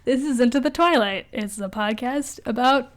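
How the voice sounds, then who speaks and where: A woman's voice: a little high, moderate pace, background noise. A woman co-hosting a podcast in casual comedic banter, sarcastic and self-deprecating, happy to swear. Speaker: podcast co-host.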